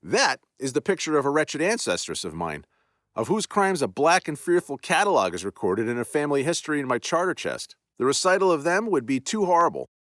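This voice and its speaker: A man's voice: on the high side, big pitch swings, fairly quick, fairly bright tone, dry recording, studio quality, voice pushed up. A man voicing an aristocratic lord, earnest and apologetic, with formal old-fashioned speech. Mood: grave.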